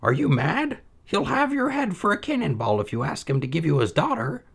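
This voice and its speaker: A man voicing Aladdin's mother, a fussy, scolding middle-aged woman with a shrill, exasperated manner. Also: big pitch swings, brisk, creaky, slightly rough, heavily acted.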